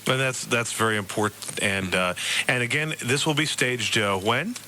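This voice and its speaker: An American man hosting a radio interview, asking questions in a slightly halting way. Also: mid-range voice, bright, forceful tone, slight background noise.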